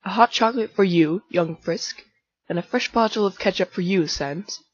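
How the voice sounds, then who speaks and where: A woman voicing a formal, gentle adult male fire-monster bartender in a fan comic dub, speaking politely without contractions. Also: lowish voice, normal speed, no room sound, lo-fi sound, lightly acted. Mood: kind.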